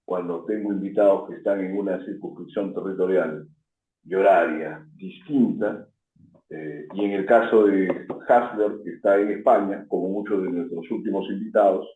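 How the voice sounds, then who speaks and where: A man hosting a radio interview, asking long, winding questions. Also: deep voice. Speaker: host. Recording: tinny phone-like line in an echoey room.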